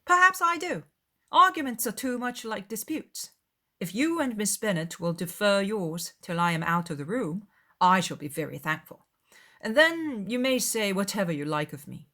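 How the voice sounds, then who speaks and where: A woman voicing a cheerful, teasing young gentleman in a dramatised audiobook, with emphatic, playful exclamations. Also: medium pitch, animated, moderate pace. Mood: good-humoured. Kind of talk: dramatised audiobook of a classic novel.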